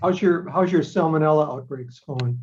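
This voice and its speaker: A man with a somewhat high voice joins a casual meeting discussion. His audio is slightly dull, as heard over a conference call. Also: normal speed, darkish tone. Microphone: conference call connection.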